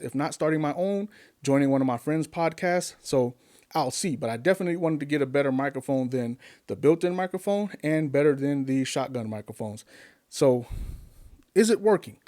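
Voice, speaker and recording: Man, casual tech vlog, chatty and upbeat, slightly higher pitch, close condenser mic, home studio. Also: darkish tone. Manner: upbeat, chatty, rhetorical questions. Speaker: YouTube tech reviewer. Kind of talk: casual vlog talk to camera. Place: home studio with gear behind. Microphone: desk-mounted condenser mic on an arm.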